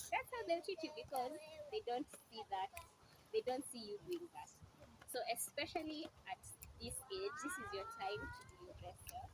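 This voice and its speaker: A woman in her late twenties with a Kenyan accent gives an encouraging motivational talk to a group, addressing her listeners directly. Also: high-pitched. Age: late 20s. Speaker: speaker at a women's talk session. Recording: clearly noisy live group recording.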